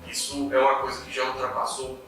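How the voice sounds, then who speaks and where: A man's voice: medium pitch, completely flat delivery, a little fast, a bit bright, heavy reverb, distant mic, soft background music. A man co-hosting a political talk show, chiming in and reading news items aloud. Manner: reads news items aloud, agreeing interjections. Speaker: political commentary co-host. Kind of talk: casual political discussion.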